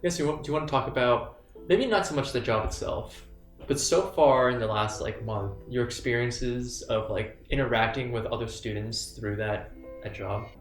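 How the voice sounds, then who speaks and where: A man's voice: mid-range voice, moderate pace, reverberant, faint music behind. A young man co-hosting a casual podcast chat, playful and teasing, rambling a little. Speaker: podcast co-host.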